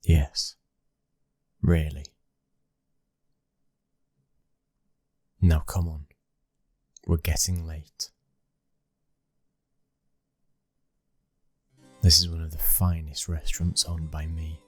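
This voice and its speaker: Very deep, husky British mafia heir, acted by a man. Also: level tone, a little slow, dry recording, soft background music, exaggerated voice. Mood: soothing, coaxing, then cool, matter-of-fact. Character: brooding, dominant young mafia boss's son, possessive and menacing. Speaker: ASMR roleplay voice actor. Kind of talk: intimate ASMR boyfriend roleplay acting.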